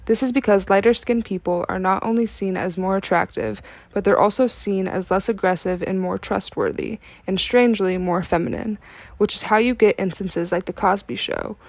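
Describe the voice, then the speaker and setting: A woman's voice: mid-range voice, a little mellow, no room sound. Woman narrating an educational documentary, close and dry.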